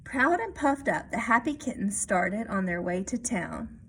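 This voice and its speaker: A woman reading a children's picture book aloud expressively, pausing to add explanations and check-in questions for young listeners. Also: medium pitch, lively intonation, a little hiss or hum. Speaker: read-aloud storyteller.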